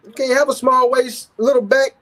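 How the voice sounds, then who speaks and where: A man with a notably high voice hosts a loose livestream talk show. He talks in easygoing slang and keeps checking in with listeners. His delivery is relaxed and conversational. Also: normal speed. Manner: laid-back slang, repeats "you feel what I'm saying".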